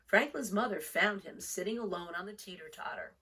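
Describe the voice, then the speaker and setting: A woman reading a picture book aloud for young children, expressive and deliberate, with storytelling emphasis. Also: mid-range voice, slightly rough, poor audio. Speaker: storybook reader.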